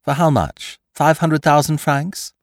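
A man voicing a triumphant, mocking gentleman thief in an audiobook, theatrical and commanding, firing off questions and taunts. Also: fairly high pitch, a little slow, no room sound, studio quality, pitched above the performer's own voice. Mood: brisk, scheming. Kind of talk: dramatised audiobook character voice.

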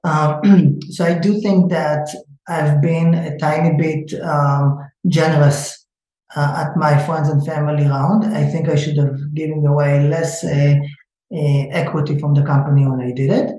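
A man with an Israeli accent answers questions about his startup, pausing often on "uh" and "um" and restarting his sentences. His voice sounds dark and mellow, and it rings in a very echoey room. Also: a little high, level tone. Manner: hesitant, many fillers and restarts. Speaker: startup founder. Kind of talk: interview answers.

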